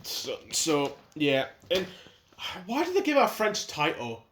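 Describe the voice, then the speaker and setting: A man's voice: a little high, wildly swooping pitch, unhurried, background noise. Rambling, opinionated young man in an echoey room. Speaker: video host. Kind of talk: casual movie-ranking chat.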